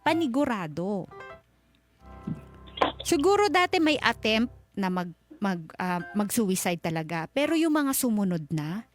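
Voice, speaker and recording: A woman's voice: mid-range voice, sing-song, measured pace, pauses often. A Filipino woman hosting a radio advice show, talking conversationally and emphatically to a caller and mixing in English. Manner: emphatic, code-switches into English. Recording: music bed under voice. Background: background music.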